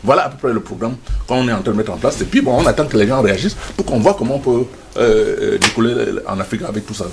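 A man's voice: mid-range voice, expressive, fast talker, gravelly, few pauses, a little hiss or hum. An older man speaking as a studio guest in a passionate debate, emphatic and stammering on repeated words.

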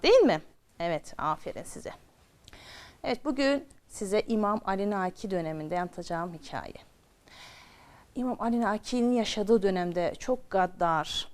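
Woman telling kids a story, expressive, asks them questions, mid pitch. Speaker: children's storyteller. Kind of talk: storytelling addressed to children.

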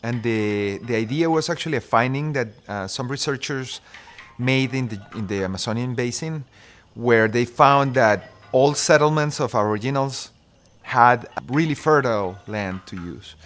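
A man's voice: mid-range voice, normal speed, a bit bright. A man in his thirties with a Colombian accent, a systems engineer explaining his project earnestly in an interview. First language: Spanish. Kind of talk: documentary interview. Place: indoor maker workshop. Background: background music.

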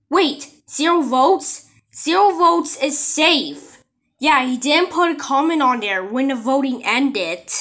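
A woman delivering amateur cartoon dialogue in a simple, drawn-out manner. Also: sits high, a bit bright.

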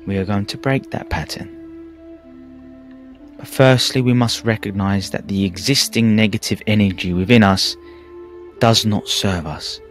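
A man narrating a guided meditation in a calm, measured, soothing way with pauses. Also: fairly low pitch. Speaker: meditation teacher. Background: background music.

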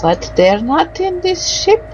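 A woman's voice: very high voice, sing-song, slow pace, a little mellow, highs cut off. A woman giving casual, reactive let's play commentary, muttering to herself and exclaiming as she plays. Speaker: gaming commentator. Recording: clear background noise and game ambience. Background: video game ambience.

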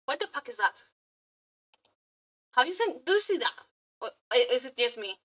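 A woman streamer giving casual live reactions, excitable, scattered and exclamatory, with some swearing. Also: high voice, normal speed. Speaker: streamer reacting to game trailers. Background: background music.

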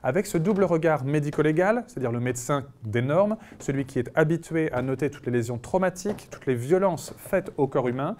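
A man, a physician, giving a conference lecture over slides, speaking in a measured way with frequent pauses and restarts. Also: on the high side, lively intonation, a little mellow, a little hiss or hum. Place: conference room.

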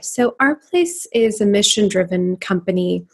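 A woman's voice: medium pitch, expressive, measured pace, slightly rough. Earnest woman founder from Pakistan. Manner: earnest, thoughtful, with frequent ums. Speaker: company founder, guest. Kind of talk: interview conversation.

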